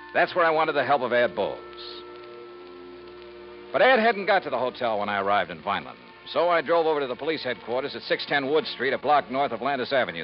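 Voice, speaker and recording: Sardonic American investigator, played by a man: mid-pitched, lively inflection, clipped and dry, muffled vintage radio sound with music. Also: lightly acted. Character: wry, tough, sardonic male insurance investigator. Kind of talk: 1950s radio acting with scripted first-person narration. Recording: muffled 1950s radio recording.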